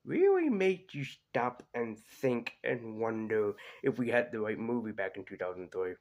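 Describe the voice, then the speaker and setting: A man's voice: medium pitch, very animated, unhurried, a little mellow. A man reviewing cartoons in a casual, conversational way, hesitating and drifting into asides as he goes. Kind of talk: casual rambling review commentary.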